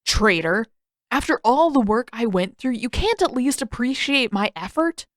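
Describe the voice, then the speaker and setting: A woman's voice: on the high side, animated, moderate pace, fairly bright tone, no room sound, studio quality, pitched above the performer's own voice. A woman voicing an indignant, boastful and defensive teenage boy in an audiobook, protesting with emphasis. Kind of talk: audiobook character voice.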